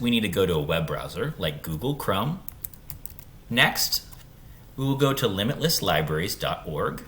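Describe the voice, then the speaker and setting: A man with a deep voice walks young students through an on-screen tutorial step by step. His delivery is informal and instructional, with very lively intonation, over faint background noise. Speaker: school tech tutorial presenter.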